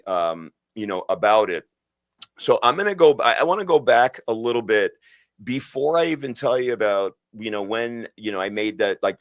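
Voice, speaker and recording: Man, middle-aged, low voice, dry close sound, halting casual storytelling, lots of fillers. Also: a bit bright, no echo. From New York. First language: Italian. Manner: halting, many restarts and filler phrases. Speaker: podcast host, spine surgeon.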